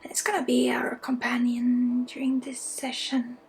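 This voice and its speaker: A Swedish woman with a Swedish accent leading an ASMR guided energy healing, speaking softly and often slipping into whispers. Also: fairly high pitch, completely flat delivery, normal speed, soft background music. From Sweden. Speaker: spiritual healer and ASMR host.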